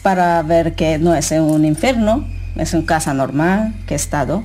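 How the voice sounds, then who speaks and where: A woman interviewee recalling things spontaneously, haltingly and with imperfect grammar. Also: sits low, mellow, faint music behind. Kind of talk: spontaneous spoken testimony.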